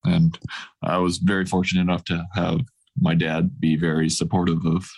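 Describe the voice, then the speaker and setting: Male athlete guest, 30s, easygoing and reflective; deep voice with plenty of vocal fry. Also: moderate pace. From grew up across Asia, mostly Taiwan. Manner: relaxed, easygoing, thoughtful. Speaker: guest, professional basketball player. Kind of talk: casual interview answers.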